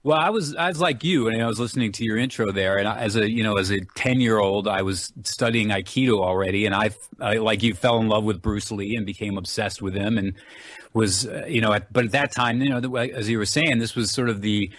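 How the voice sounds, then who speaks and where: A middle-aged American man talks as an interview guest in a slightly low voice. His delivery is casual and informal, wandering from thought to thought. The sound is dry. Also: a little fast, a bit bright, dry recording. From San Francisco Bay Area, United States. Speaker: interview guest, actor and filmmaker.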